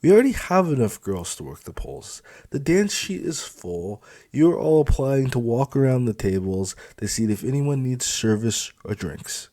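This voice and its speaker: A man's voice: medium pitch, sing-song, normal speed, few pauses, dark tone, no room sound, voice pushed up, natural voice. A man voicing a smug, crude club supervisor in an audiobook, dismissive and impatient with a sneering manner. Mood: condescending, taunting. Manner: sneering, exasperated, mouthy. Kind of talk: dramatised audiobook dialogue.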